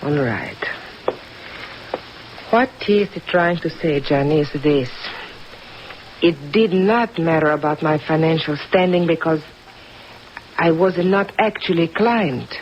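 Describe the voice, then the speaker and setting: An actress playing a composed, world-weary countess in an old radio drama, speaking candidly. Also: female voice, deep voice, takes it slowly, long pauses. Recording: dull, noisy vintage radio recording, steady rain.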